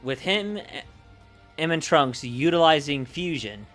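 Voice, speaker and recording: A man's voice: a little high, animated, takes it slowly, a bit bright. A man livestreaming as a game master, reading out story summaries and chatting, often repeating and correcting his words. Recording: music playing behind the voice. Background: background music.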